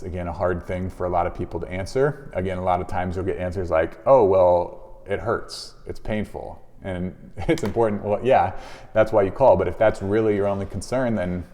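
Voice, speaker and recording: A man's voice: deep voice, darkish tone. A man giving informal, conversational instructional advice as a chiropractor presenting to viewers, explaining in plain everyday words. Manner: conversational, explanatory, voices example questions and replies. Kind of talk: informal instructional talk to camera. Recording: very reverberant, echoey room.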